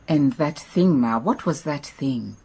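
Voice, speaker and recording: Low-voiced, wise lady detective. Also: expressive, slow pace, dark tone, slightly rough, exaggerated voice. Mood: curious. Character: wise, composed lady detective, gently curious and encouraging. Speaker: actor in radio drama. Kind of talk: radio drama acting.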